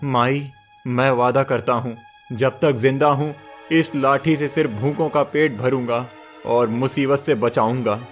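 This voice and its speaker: A man plays a young village herdsman from a cartoon fable. He is earnest, gentle and kind, and speaks slowly and humbly, with music underneath. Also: medium pitch, fairly even intonation, close to an everyday voice. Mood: solemn, earnest. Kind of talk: Hindi moral cartoon story acting.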